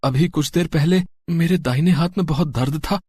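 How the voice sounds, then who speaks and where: A man voicing a humble, poor grown man in an animated story, with a warm and expressive delivery. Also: on the high side, normal speed, husky, dry recording. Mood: amazed. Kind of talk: cartoon story voice acting.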